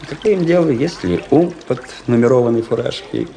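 A man's voice: medium pitch, a little fast, gravelly, dark tone, lightly acted. An actor playing a vain, embittered young military cadet in a radio drama, pompous and affected in his declamation. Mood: bitter, self-pitying. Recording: radio play with prominent music behind. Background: music.

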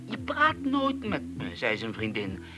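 A haunted loner in a radio play, speaking in a slow, brooding way with sudden hushed drops. His voice sits a bit high for a man. Music plays clearly behind him. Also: wildly swooping pitch. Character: unsettled man, oversensitive to noise, speaking bleakly and calmly. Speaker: troubled man being questioned about a friend's death.

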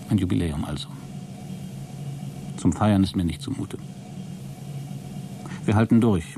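A low male voice over clearly noisy ambience an embittered, self-important man in a German radio drama. He words everything formally and pedantically, with a cold edge, as if dictating an official log. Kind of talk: German radio play acting, spoken logbook monologue.

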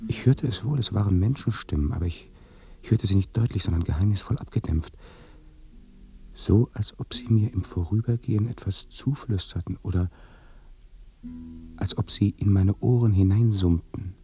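An actor playing a haunted, weary dead son in a German radio play, speaking in a hushed, brooding way. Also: male voice, lowish voice, normal speed, lightly acted. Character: dead son recounting his death by fear, haunted, weary. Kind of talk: German radio play acting, slow intimate monologue.

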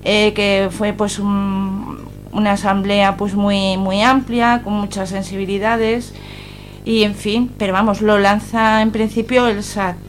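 A woman's voice: medium pitch, flat delivery, takes it slowly, faint music behind. A woman with an accent from Spain, an activist guest in a radio interview, speaking conversationally in hesitant, piecemeal phrases. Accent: Spanish from Spain.

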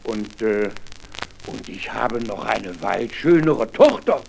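A man's voice: fairly low pitch, lively intonation, takes it slowly, creaky, slight background noise, exaggerated voice. A man playing a poor miller in a fairy-tale radio play, a sighing, hesitant father who is both fawning and boastful.

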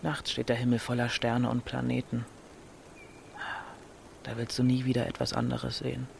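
A man's voice: medium pitch, completely flat delivery, normal speed, creaky. A teenage boy, restless and defiant, with a mid-range voice. He is pragmatic, in radio-play style, with faint ambient noise behind him. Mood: defiant, visionary. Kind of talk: German radio drama acting.

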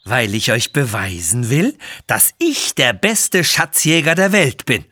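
A man's voice: medium pitch, very animated, measured pace, sharp tone, dry recording, clean studio sound, full character voice. A man voicing a greedy, boastful villainous lord who is pompous and menacing in a children's adventure story. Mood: gloating.

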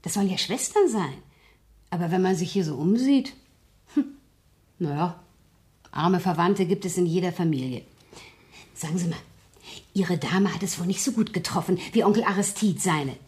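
A woman's voice: fairly low pitch, animated, moderate pace, long pauses, darkish tone, natural voice. A woman acting a blunt, chatty, nosy working-class maid in a German radio play, speaking in a colloquial, unabashed way. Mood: cheeky.

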